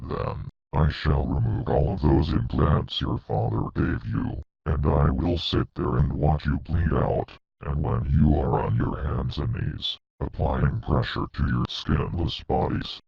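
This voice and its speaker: A man playing a cold, menacing artificial being of pure logic in an audio drama, speaking formally and deliberately with a gravelly, husky voice. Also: extremely low pitch, dry recording, exaggerated voice. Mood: sadistic menace. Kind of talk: dramatic villain monologue in amateur audio drama. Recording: dull lo-fi close recording.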